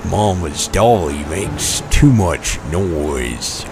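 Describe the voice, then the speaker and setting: An actor playing a menacing, deranged asylum escapee who talks like a petulant child, in a comic-book audio drama. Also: male voice, low voice, expressive, drawn-out words, background noise, heavily acted. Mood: childish menace.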